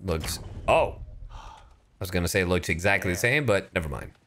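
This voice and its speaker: A man's voice: sits low, animated, normal speed, fairly bright tone. A man doing casual, profane, jokey livestream commentary while he plays a game, sometimes reading aloud theatrically. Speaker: streamer, gamer.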